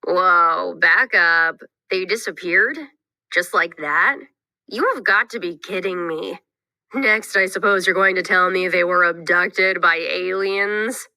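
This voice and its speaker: A woman's voice: fairly low pitch, slow, sharp tone, no echo, pitched below the performer's own voice, heavily acted, rough recording. A woman voicing a skeptical, inquisitive young boy in a scripted read-aloud for children, questioning everything with emphatic doubt. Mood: incredulous.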